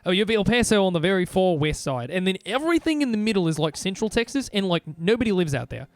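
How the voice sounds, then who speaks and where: A man's voice: sits high, big pitch swings, quick pace, dry recording. Man putting on a playful rambling Australian accent. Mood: puzzled, amused. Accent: put-on Australian. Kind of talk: casual livestream banter.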